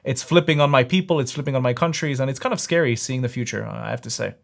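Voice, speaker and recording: A man's voice: mid-range voice, fast talker, gravelly. A man hosting a live stream, reading out super chats and debating in an emphatic, insistent way that repeats his points. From Israel.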